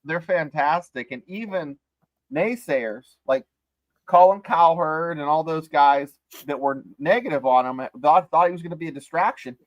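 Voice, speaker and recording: A man co-hosting a sports podcast, speaking in a measured, level-headed way and reading out news items. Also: on the high side, dry recording, muffled recording. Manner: measured, reasonable, reads out news. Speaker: podcast co-host. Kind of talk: casual sports podcast chat.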